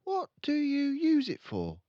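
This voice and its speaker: A man's voice: very high voice, wildly swooping pitch, unhurried, mellow, dry recording, muffled recording, pitched above the performer's own voice, heavily acted. A man voicing a clever, polite little girl in a storybook, innocently questioning and slyly needling. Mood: innocently curious.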